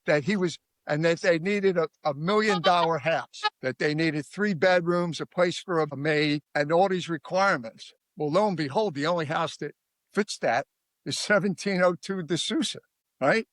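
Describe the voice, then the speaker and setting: A man with a Philadelphia accent who talks through a case in a rambling, emphatic style. His voice sits a bit high for a man. It is recorded very dry, with no room sound. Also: normal speed, fairly bright tone, no echo. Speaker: podcast guest. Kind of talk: casual true-crime discussion.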